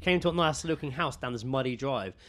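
A man's voice: medium pitch, brisk, fairly bright tone. Blokey southern English man, sweary and jokey. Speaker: podcast co-host. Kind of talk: casual comedic chat, telling anecdotes.

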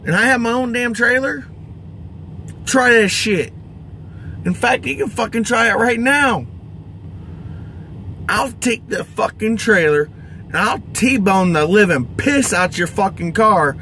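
A man's voice: high voice, bright, forceful tone, slight background noise. A middle-aged American man, a truck driver, ranting to camera in an exasperated, emphatic, heavily profane way. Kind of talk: angry vlog rant. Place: inside a truck cab.

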